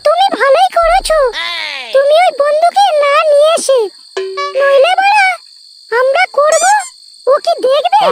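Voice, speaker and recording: Shrill, squeaky cartoon village wife. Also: male voice, measured pace, pauses often, background noise. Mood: coaxing. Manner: shrill, complaining, foul-mouthed. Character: brazen, discontented adult village wife. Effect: extreme pitch-raised cartoon voice. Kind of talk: crude comedy cartoon dialogue. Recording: very loud music over the voice.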